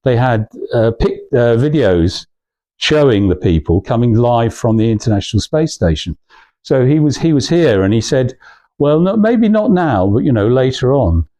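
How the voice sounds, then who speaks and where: A man's voice: mid-range voice, sing-song, moderate pace, warm tone. Hesitant man giving an informal talk. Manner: hesitant, rambling, anecdotal, restarting phrases. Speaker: guest speaker at a club talk. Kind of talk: informal talk to a small audience.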